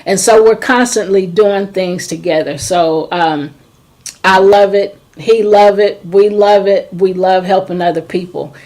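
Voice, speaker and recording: A middle-aged woman hosting a talk show who speaks as a trauma and forgiveness coach, talking slowly and hesitantly with many ums. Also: medium pitch, darkish tone. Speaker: host. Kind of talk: casual chat.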